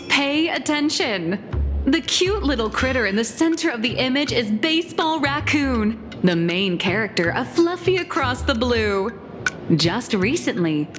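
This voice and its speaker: Sly comic artist, reverberant high voice. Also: female voice. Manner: glib, playful, mock-formal, stammers when cornered. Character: sly, evasive, boastful young female comic artist. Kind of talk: animated game voice acting. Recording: reverberant studio voice over game music.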